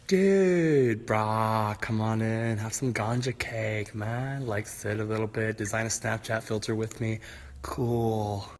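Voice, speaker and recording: A man's voice: fairly low pitch, slow, voice pushed up. A man voicing a laid-back stoner hippie with a dazed, slangy surfer-dude drawl. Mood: mellow. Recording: noisy homemade phone clips.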